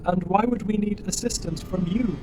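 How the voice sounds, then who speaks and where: A man's voice: high voice, normal speed, far from the mic, natural voice, rough recording. An actor playing a literal-minded male war robot in a science fiction audio drama, guarded yet naively curious, with stilted formal phrasing. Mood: hostile, challenging. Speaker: robot soldier. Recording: music behind.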